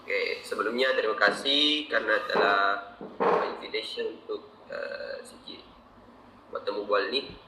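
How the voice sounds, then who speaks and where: A young Indonesian man from Makassar with an Indonesian accent, answering interview questions in a measured, methodical way with frequent fillers. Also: fairly low pitch, very noisy. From Makassar, South Sulawesi, Indonesia. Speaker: interviewee, engineer. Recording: roomy, distant mic, faint ambience.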